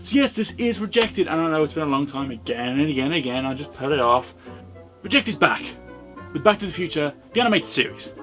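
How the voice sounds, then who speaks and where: A man's voice: on the high side, animated, fast talker, sharp tone, faint music behind. A British man giving an informal, opinionated video review with sardonic, sweary commentary. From Britain. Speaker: host and reviewer.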